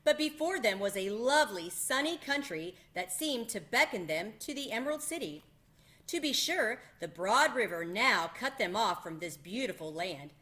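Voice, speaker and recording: Bright-voiced woman reading a storybook aloud. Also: medium pitch. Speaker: read-aloud host. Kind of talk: children's storybook read-aloud narration.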